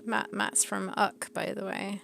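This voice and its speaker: A woman's voice: mid-range voice, a little slow, vocal fry, music in the background. A woman chatting and giggling on a live stream, greeting viewers and reading out their questions. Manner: giggly, animated. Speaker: co-host reading chat. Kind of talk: casual chat on a live stream.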